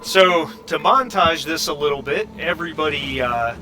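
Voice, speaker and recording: A man narrating casually as game master, improvising with halting pauses. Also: on the high side, expressive, pushed and bright, a little hiss or hum.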